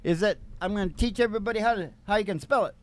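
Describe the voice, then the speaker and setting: A man's voice: sits high, racing. A man, co-hosting a comedy music podcast, tells stories in a folksy, meandering, absent-minded way and chats casually. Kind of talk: rambling comic storytelling, casual chat.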